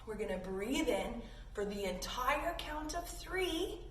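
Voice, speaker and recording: A woman with a high voice guides children through yoga in a playful way. She encourages them and talks to them directly as friends. The sound is roomy and a little distant, with faint noise behind her. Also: expressive, takes it slowly. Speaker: kids yoga instructor.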